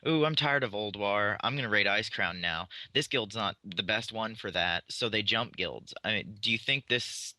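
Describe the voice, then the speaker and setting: A man's voice: on the low side, moderate pace, hardly any pauses, pushed and bright, no echo. A man on a gaming podcast panel, casually putting questions to the others.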